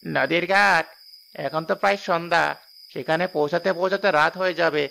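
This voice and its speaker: A man's voice: on the high side, fairly quick, creaky, pushed and bright. A man voicing a deferential, superstitious village bullock-cart driver in a cartoon, respectful and cautioning in a rustic way.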